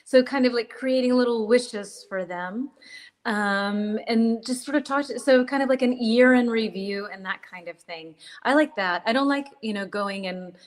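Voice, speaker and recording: A middle-aged American woman hosting a casual live-stream English lesson, chatting in a relaxed way with many fillers and self-corrections. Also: fairly high pitch, moderate pace. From United States. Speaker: English teacher, host.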